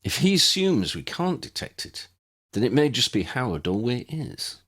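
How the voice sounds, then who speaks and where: A man's voice: mid-range voice, very animated, normal speed, a bit bright, voice pushed up. A man voicing a proud, sardonic young male wizard in an audiobook, dry and clipped. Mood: wary.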